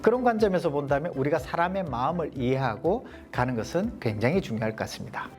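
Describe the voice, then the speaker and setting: A man with a softened Gyeongsang accent, giving a leadership coaching lecture to a small group and posing rhetorical questions. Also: on the high side, animated, fast talker, music in the background. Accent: Gyeongsang Korean, mostly softened. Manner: poses rhetorical questions, explanatory.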